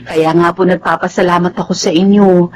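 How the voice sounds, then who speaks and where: A woman's voice: lowish voice, level tone, fairly quick, darkish tone, a little hiss or hum, lightly acted. An actress playing a humble, weary, poor young mother who does laundry, in a Tagalog radio drama. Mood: humble, reserved.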